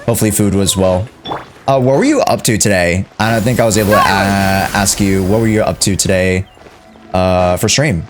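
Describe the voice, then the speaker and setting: A man live-streaming a game, chatting casually in a slangy, joking way with drawn-out phrasing. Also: deep voice, fairly even intonation, over music.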